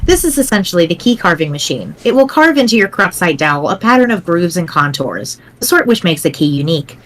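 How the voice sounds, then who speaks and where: A woman voicing a pedantic, precise young explainer in a dramatic reading, formal, wordy and measured. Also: fairly low pitch, sing-song, a bit bright, a little hiss or hum. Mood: pedantic, serious.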